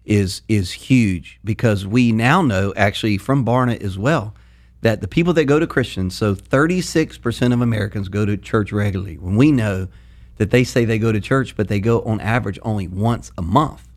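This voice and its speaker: A man with a South Louisiana accent co-hosting a church podcast, throwing in quick joking asides. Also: lowish voice. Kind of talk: casual podcast chat.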